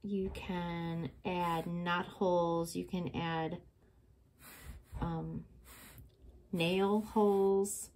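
A woman's voice: fairly low pitch, flat delivery, drawn-out words, long pauses, a little mellow. A middle-aged woman teaching a step-by-step drawing lesson to children, patient and encouraging, recorded at her desk on the camera mic. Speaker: art teacher.

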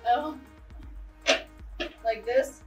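A woman's voice: on the high side, drawn-out words, dark tone, soft background music. A woman chatting casually and teasing her partner with questions while they work on plumbing at home. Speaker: vlogger helping with a fish room plumbing job. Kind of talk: casual chat while working.

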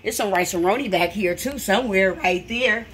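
A woman's voice: medium pitch, normal speed, a bit bright, slightly rough. A middle-aged woman, chatting casually to her viewers as she walks them through her kitchen and backyard. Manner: chatty, talks straight to viewers. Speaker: vlogger showing her family cookout. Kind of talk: casual vlog walkthrough. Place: home kitchen and backyard, outdoors.

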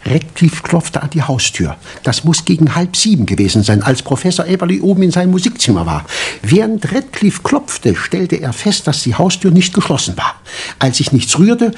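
A confident doctor sleuth in an old radio whodunit. His high, husky voice rises and falls widely as he lays out his case with insistent drama. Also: big pitch swings, moderate pace, a little mellow, exaggerated voice. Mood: dramatic, explaining. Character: self-assured male doctor turned amateur detective. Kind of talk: 1960s German radio crime play acting.

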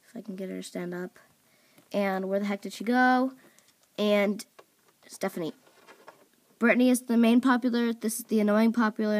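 A young woman casually introducing her characters at home, talking in a rambling way with lots of fillers. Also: mid-range voice. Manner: rambling, filler-heavy, scolds her dog off-mic. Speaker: video creator. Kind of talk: casual chat. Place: home room at a table of toys.

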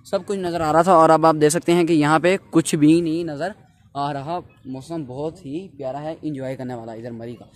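A teenage young man with a Pakistani accent, narrating a casual travel vlog into a handheld phone, often repeating himself as he addresses viewers. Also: on the high side. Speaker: vlogger. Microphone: phone at arm's length. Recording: noisy handheld phone recording, ambient sound.

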